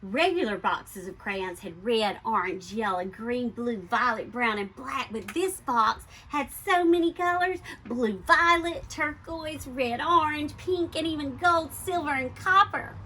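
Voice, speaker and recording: Bright-voiced woman reading a storybook aloud. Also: high voice, unhurried, few pauses, a little hiss or hum. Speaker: elementary school teacher reading a picture book aloud to pupils. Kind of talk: expressive children's storybook read-aloud.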